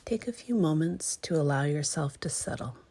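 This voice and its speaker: A woman leading a guided meditation in a hushed, breathy, gentle and unhurried way, leaving long pauses between phrases. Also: deep voice, animated. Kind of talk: guided meditation, slow and soothing.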